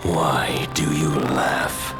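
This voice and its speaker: An actor playing a grim, self-righteous dark warrior with a solemn, formal delivery, in dramatic fantasy game style. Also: male voice, very deep voice, steady tone, very slow, vocal fry, full character voice. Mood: grim, resolute. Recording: loud music behind the voice. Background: music.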